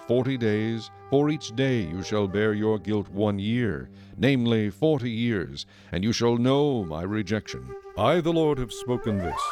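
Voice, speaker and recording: A man playing a stern, majestic God in a dramatized scripture recording, solemn, authoritative and deliberate. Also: medium pitch, darkish tone, lightly acted. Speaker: narrator and voice of the Lord. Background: music.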